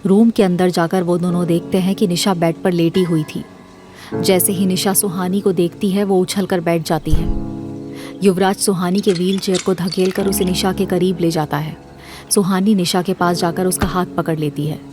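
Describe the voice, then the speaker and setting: A woman's voice: on the low side, fairly even intonation, normal speed, music in the background. A woman reading scripted narration for an audio drama series.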